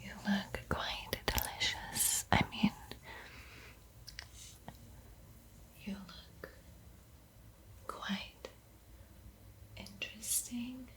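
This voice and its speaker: A woman playing a soothing but secretly hungry vampire art teacher in a breathy, coaxing whisper for an ASMR roleplay. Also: mid-range voice, steady tone, rough recording. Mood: coaxing, sly. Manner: slow, hushed, coaxing, hesitant pauses.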